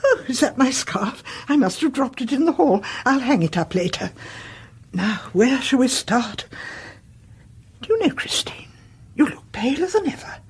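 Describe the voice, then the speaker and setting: An actress playing a frail, kindly elderly neighbour in a radio play, genteel and hesitant, with a husky, breathy voice. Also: female voice, high voice, sing-song, normal speed, exaggerated voice. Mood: kindly, wistful. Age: older.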